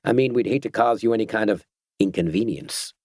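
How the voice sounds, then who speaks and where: A man's voice: lowish voice, a little fast, darkish tone, no echo, clean studio sound. A man reading a story, voicing a menacing biker gang leader who talks in a soothing, mock-polite, folksy way with ungrammatical speech.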